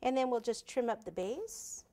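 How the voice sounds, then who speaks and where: A woman's voice: on the high side, expressive, normal speed, mellow. A woman in her forties teaching cake decorating in a home kitchen, chatty and thinking aloud as she works, recorded on a lapel mic. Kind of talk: casual hands-on tutorial.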